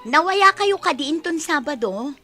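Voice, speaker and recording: A woman's voice: high-pitched, expressive, measured pace, bright, forceful tone, soft background music, full character voice. An actress with a Filipino accent playing a thrifty, frustrated young working woman who complains emphatically in a radio drama. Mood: reassuring. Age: young adult.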